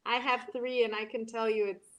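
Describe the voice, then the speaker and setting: A woman, moderating an online panel and talking in a halting way, full of ums and restarts. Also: a little high, level tone, fairly bright tone, poor audio. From Brazil.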